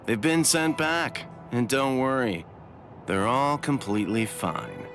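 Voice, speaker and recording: An actor playing a calm, knowing man in an anime game, explaining things in a measured way. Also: medium pitch. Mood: calm, reassuring. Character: calm, knowing adult man, reassuring and matter-of-fact. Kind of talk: anime game cutscene acting. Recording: loud music behind.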